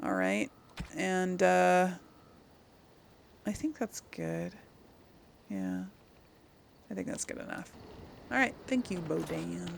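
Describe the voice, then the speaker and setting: Female let's player, casual commentary, noticeable fry in the voice. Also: on the low side, big pitch swings, slow pace, long pauses, slight background noise. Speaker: gameplay streamer role-playing a character.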